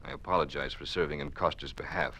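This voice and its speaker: A deferential male defense counsel who serves the regime. His voice is very low yet sharp and forceful, with formal, oratorical phrasing. The delivery is classic radio drama. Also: fairly even intonation, fast talker, exaggerated voice. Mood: solemn, accusing. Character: deferential, servile male defense lawyer doing the regime's bidding. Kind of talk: old-time radio drama acting.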